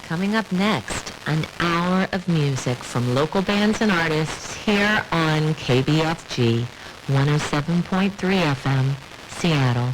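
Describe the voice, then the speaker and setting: A woman's voice: low voice, animated, slow. A woman announcing for a local radio station in a scripted read on a hissy recording.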